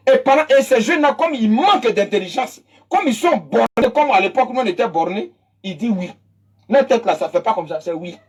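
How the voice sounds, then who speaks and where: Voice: medium pitch, husky. A speaker with an Ivorian French accent giving an impassioned political monologue, emphatic and mocking, repeating phrases for effect. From Côte d'Ivoire. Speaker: political commentator.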